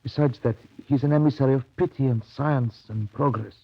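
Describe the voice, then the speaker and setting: An actor playing an admiring assistant manager at a colonial station, earnest and reverent in a radio drama. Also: male voice, medium pitch, brisk, lightly acted. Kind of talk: 1930s radio drama acting. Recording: dull, lo-fi 1930s radio recording.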